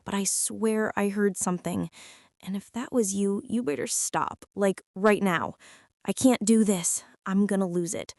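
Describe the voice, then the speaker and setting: A woman's voice: medium pitch, unhurried, a little mellow, dry recording, close to an everyday voice. A young woman playing a prickly, defensive teenage school bully in an intimate girlfriend ASMR roleplay, sulky and sarcastic, close to the mic. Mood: exasperated. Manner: sulky, sarcastic, huffy, with sighs and whispers.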